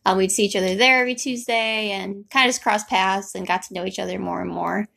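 Young woman with a creaky, casual voice. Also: medium pitch, quick pace, sharp tone. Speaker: host telling the couple's story. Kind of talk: casual chat.

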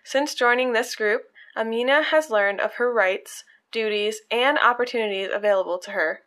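A woman's voice: fairly high pitch, normal speed, fairly bright tone. Earnest woman reading stories aloud. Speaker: podcast host.

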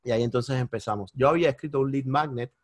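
A man with a Cuban accent, a podcast guest telling his story in lively casual conversation and bursting into high exclamations at the big moments. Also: quick pace, dry recording. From Cuba. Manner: animated, jumps into high-pitched exclamations when retelling moments.

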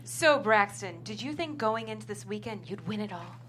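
Need actress for polite, presenter-style interviewer, patient delivery, average pitch, slight hum on the recording. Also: female voice, animated, moderate pace, fairly bright tone, natural voice. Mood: polite, forced. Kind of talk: comedy pilot table read.

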